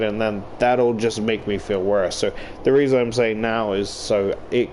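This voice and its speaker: A man giving casual, rambling game commentary, halting and swearing freely as he talks. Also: fairly low pitch. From Australia. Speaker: YouTuber playing and reading a visual novel. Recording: clear steady air-conditioner background noise.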